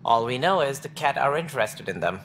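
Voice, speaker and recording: Tense, precise alien official. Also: male voice, medium pitch, animated, fairly quick, fairly bright tone, a little hiss or hum. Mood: wary. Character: anxious, precise male alien official, amphibian-like salarian. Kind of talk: video game dialogue acting.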